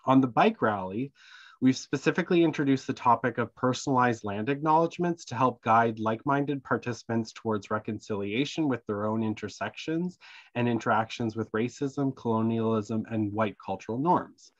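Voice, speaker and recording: A man's voice: mid-range voice, moderate pace. A man hosting an online town hall with prepared remarks, speaking over a video call. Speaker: meeting host. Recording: dull, dry online call audio.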